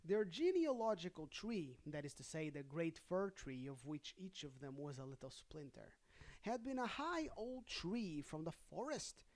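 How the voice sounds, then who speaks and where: A storyteller with a middling pitch reads a fairy tale aloud. The delivery is casual, with chatty, wry comments slipped in between the lines of the story. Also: big pitch swings, a little slow, darkish tone. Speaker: storybook reader and host.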